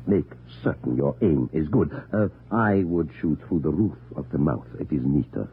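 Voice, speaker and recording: A man's voice: very deep voice, animated, normal speed. An actor playing a cold, calculating male usurper in an old radio drama, speaking with precise menace. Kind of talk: 1940s radio acting. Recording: tinny old radio broadcast with hiss.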